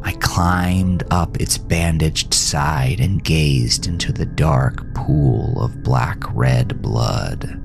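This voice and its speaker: A man narrating a scripted first-person horror story in a brooding, deliberate, dramatic manner. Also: a bass voice, vocal fry. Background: music.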